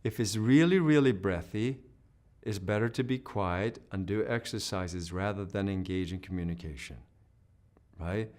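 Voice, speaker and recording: Man teaching singing with a lowish voice. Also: moderate pace, darkish tone. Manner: demonstrates hoarse and humming sounds mid-explanation; explains patiently, often checking with "right?". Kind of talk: instructional video lesson.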